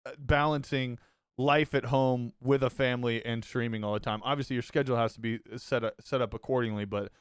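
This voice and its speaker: Casting: young male host, clear smooth voice, dry close sound, stumbling casual delivery. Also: mid-range voice, steady tone, dry recording. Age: young adult. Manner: halting, filler-heavy, laughs often. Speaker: podcast host. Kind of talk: casual podcast chat.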